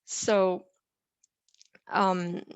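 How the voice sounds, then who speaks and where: A young adult woman from Romania, a software engineer, presents a tutorial in a clear, explanatory way with frequent "uh" and "um" fillers. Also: lowish voice, little pitch movement, extremely slow, no echo. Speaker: software engineer teaching a lesson.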